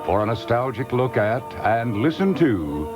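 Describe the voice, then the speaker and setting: Lively American announcer over music. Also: male voice, medium pitch, measured pace. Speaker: program announcer. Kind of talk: scripted TV show introduction.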